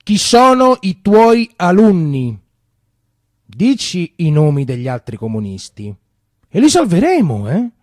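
A man's voice: high-pitched, huge pitch swings, measured pace, a little mellow, dry recording, studio quality, voice pushed up, full character voice. A man playing a menacing interrogator who badgers with questions in a theatre monologue. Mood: menacing, demanding. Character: menacing interrogator demanding names of communist comrades. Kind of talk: stage monologue character acting.

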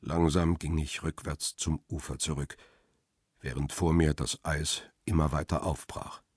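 An actor playing a grim male photographer who narrates a crime radio drama in a measured, terse, husky voice. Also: a bass voice, flat delivery, darkish tone, no room sound, studio quality, full character voice. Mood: grim, tense. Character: middle-aged male photographer Peter Lime, grim first-person narrator.

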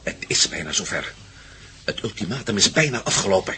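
Short-tempered man, bright animated voice. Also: low voice, fairly quick, slightly rough, faint music behind, exaggerated voice. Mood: tense. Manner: irritable, excitable. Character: tense, short-tempered man waiting on a looming disaster. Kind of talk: radio drama acting.

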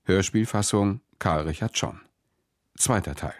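Male announcer, low pitch, dry studio sound, formal, scripted credit reading. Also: measured pace, no echo.

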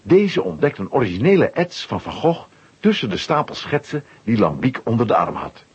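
A male narrator tells a children's story with a very husky, breathy voice. His pitch is lively and mid-range, and the recording sounds slightly dull. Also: normal speed. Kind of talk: scripted storytelling for a children's audio play.

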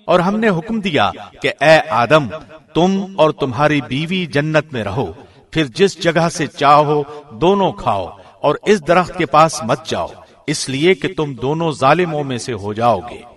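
A man with a slightly high voice reads translated scripture aloud. His delivery is formal and measured, each phrase carefully set out like a trained broadcaster's. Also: animated, a bit bright. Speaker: translation reader, professional radio host.

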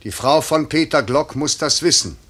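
An actor playing a stern, idealistic airline director, solemn and declamatory, with a husky voice in a 1950s German radio play. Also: male voice, a little high, normal speed, fairly bright tone. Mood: firm, impassioned. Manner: declamatory, solemn, clipped authority.

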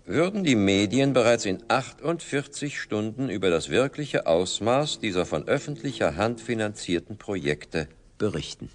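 An actor playing a smug, menacing company director in a German radio drama, polished, sardonic and theatrically courteous. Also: male voice, lowish voice, big pitch swings, normal speed. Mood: coolly menacing.

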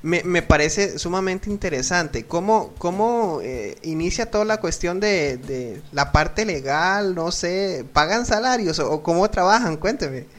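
A man's voice: high voice, lively intonation, measured pace, hardly any pauses, a bit bright. A man presenting and interviewing as a host, polite and formal in his address. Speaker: program host. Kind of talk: radio-style interview and presenting.

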